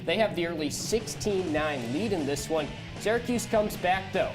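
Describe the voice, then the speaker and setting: Male sports host and play-by-play announcer, slightly high voice, broadcast commentary over faint music. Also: expressive, normal speed, low-quality recording.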